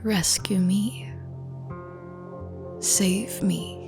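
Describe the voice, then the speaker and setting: A woman praying in a slow, breathy whisper. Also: medium pitch, fairly even intonation. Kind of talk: whispered prayer. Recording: soft music bed under voice. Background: new-age music.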